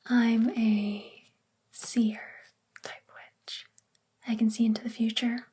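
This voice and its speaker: A woman playing a sweet, gentle, self-doubting witch librarian in a soft-spoken, confiding ASMR roleplay with a breathy, whispery voice. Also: a little high, little pitch movement, takes it slowly, heavily acted. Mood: wistful, explaining.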